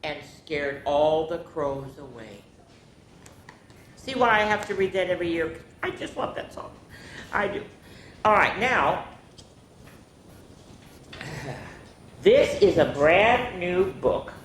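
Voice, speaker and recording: Slow-paced woman reading stories to children. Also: lowish voice, animated. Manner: slow, deliberate delivery with chatty asides to listeners. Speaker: storytime host reading children's books aloud. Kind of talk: animated read-aloud storytelling for young children. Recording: echoey room with faint background noise.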